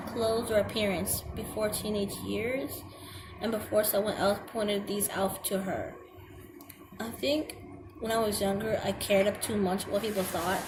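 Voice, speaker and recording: A young woman with a girlish voice reads a checklist aloud and comments on it hesitantly, stumbling over words and filling pauses. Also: mid-range voice. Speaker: vlogger. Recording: home video with clear background noise.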